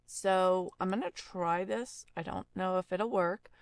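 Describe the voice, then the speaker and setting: A woman giving a casual audio software tutorial, explaining informally and thinking aloud as she works. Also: lowish voice, a little slow, no echo.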